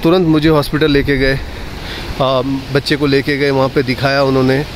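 A man's voice: mid-range voice, level tone, moderate pace. A man hosting a fishing vlog, talking casually to viewers and often repeating short phrases. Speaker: fishing channel host. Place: outdoors by water, partly on a boat. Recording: noisy outdoor recording with boat sounds.

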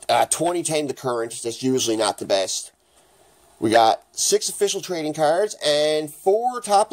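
Guy w a raspy post-flu voice, rambly card-opening chat in his kitchen, mid pitch. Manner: chatty, rambling, excited at good pulls. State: raspy, getting over the flu. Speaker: hockey card channel host. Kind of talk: casual card-opening vlog. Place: home kitchen.

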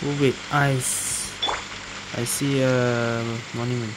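A woman gaming streamer gives casual play-by-play in halting, muttered remarks. There is clear noise and game ambience behind her. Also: extremely low pitch, little pitch movement. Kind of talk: casual gameplay commentary.

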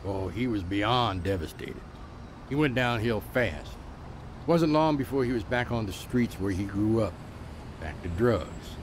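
A man's voice: lowish voice, animated, measured pace, noisy background. An actor playing an earnest man who lives among the homeless and tells a story in a radio drama. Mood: solemn storytelling. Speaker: storyteller and street preacher.

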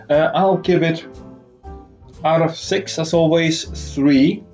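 A man's voice: a little high, a little mellow, muffled recording. A middle-aged man vlogging in a living room, giving rambling, wordy reviews and sarcastic commentary. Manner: sardonic, wordy. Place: small living room, indoors.

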